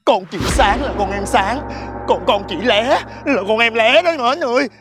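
A woman's voice: high voice, very animated, moderate pace, fairly bright tone, soft background music. A woman playing a two-faced rival market seller in a comedy skit. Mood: pleading.

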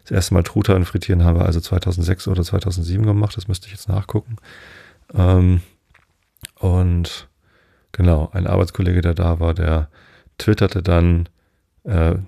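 A man's voice: low voice, fairly even intonation, normal speed, creaky, dark tone, slightly rough, dry recording, clean studio sound. A middle-aged man from Northern Germany hosting a sleep podcast, meandering through his thoughts with many fillers and digressions. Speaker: podcast host. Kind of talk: rambling sleep podcast monologue.

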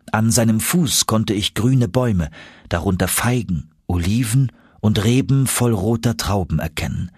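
A man's voice: lowish voice, breathy edge, dry recording, studio quality. A man narrating an audiobook in a dramatic, scripted first-person storytelling style.

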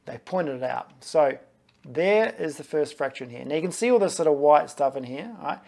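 A man's voice: on the high side, lively intonation, normal speed, vocal fry, darkish tone. A man in his forties, a physiotherapist, chatting through his own knee scans to camera in a small clinic office, often checking in with okay and right. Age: 40s.

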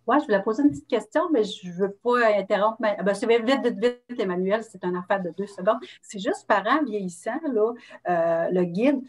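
A woman in her fifties with a Quebec French accent talks casually as a meeting participant. Her voice is mid-pitched for a woman and comes over a video call. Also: moderate pace. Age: 50s. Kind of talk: casual question.

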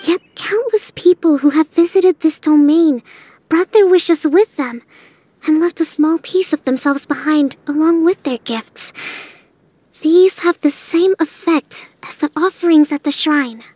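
A woman playing a calm, learned young female leader in a video game, speaking in a measured, gentle way. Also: sits high, dry recording. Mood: calm, explanatory.

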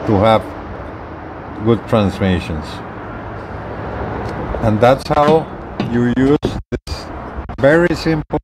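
Middle-aged man, slow halting delivery, repeated words, hands-on tutorial, outdoor porch, faint background noise. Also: medium pitch. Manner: halting, short phrases with repetitions. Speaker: gadget reviewer demonstrating equipment. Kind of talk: unscripted how-to demonstration.

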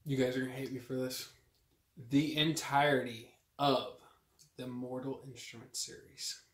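A man's voice: mid-range voice, little pitch movement, moderate pace. Young man in a roomy recording. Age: young adult. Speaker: book vlogger co-host. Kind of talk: casual chat about books. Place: small room at home with bookshelves. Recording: roomy echo from camera distance.

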